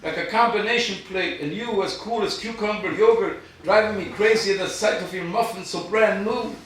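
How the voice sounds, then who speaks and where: A man's voice: sits high, normal speed, a bit bright. A man reading his poetry aloud at a live event, with an informal, self-deprecating, rhythmic delivery. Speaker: poet. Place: live event room in Chicago. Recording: distant mic, very echoey noisy room.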